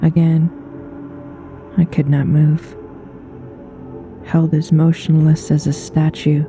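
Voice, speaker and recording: A woman narrates a horror story in a very dark, mellow voice. Her delivery is solemn and measured, with pitch held fairly flat. Clear music plays behind her reading. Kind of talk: scripted horror story narration, read aloud.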